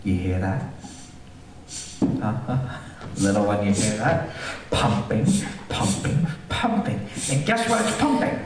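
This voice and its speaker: A man's voice: fairly low pitch, wildly swooping pitch, unhurried, long pauses. A man playing a vain, gloating serial killer in an old-time radio thriller on stage, with theatrical, gleeful cackling and relishing delivery. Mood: gloating, menacing. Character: gloating, vain male serial killer showing off his lair. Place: theater, live-streamed stage performance. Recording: echoey theater stage, distant, faint noise.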